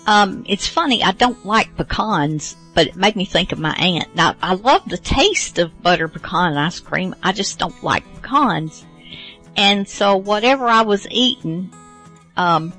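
A woman's voice: mid-range voice, animated, unhurried, fairly bright tone, faint music behind, rough recording. A middle-aged woman hosting a radio show, chatting casually and rambling with many fillers. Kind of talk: casual chat.

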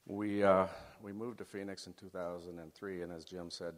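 Middle-aged guy w a really low voice, folksy talk to students, big echoey hall. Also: flat delivery, moderate pace. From Chile. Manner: folksy, leads the audience through an exercise. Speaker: guest speaker. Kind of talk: faith-based public talk to students. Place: large hall. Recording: long hall reverb.

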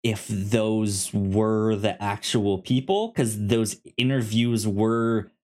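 A man's voice: lowish voice, slow pace, a little mellow. A man co-hosting a podcast, casually discussing a TV series and often stammering over repeated syllables. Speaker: podcast co-host.

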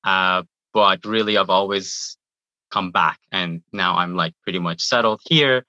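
A man's voice: low-pitched, steady tone, a little slow, pushed and bright, no room sound, dull audio. A man in his thirties, born in Iran, chatting in an interview and often repeating words as he talks. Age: 30s. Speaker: guest, YouTuber. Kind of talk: casual interview answers.

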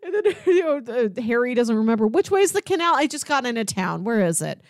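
A woman's voice: on the high side, sing-song, brisk, no echo, studio quality. A woman co-hosting a casual film discussion, retelling scenes conversationally and voicing the characters' lines. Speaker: film podcast co-host.

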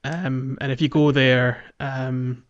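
A man co-hosting a podcast, chatting casually about video games in a halting, rambling way. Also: medium pitch, level tone, lo-fi sound. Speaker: podcast co-host. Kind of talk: casual video game playalong chat.

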